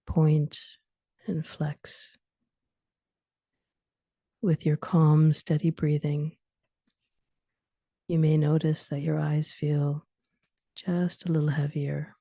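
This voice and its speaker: A woman leading a guided sleep meditation, giving soothing instructions in short phrases with long pauses and soft, breathy endings. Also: deep voice, little pitch movement, no echo, studio quality.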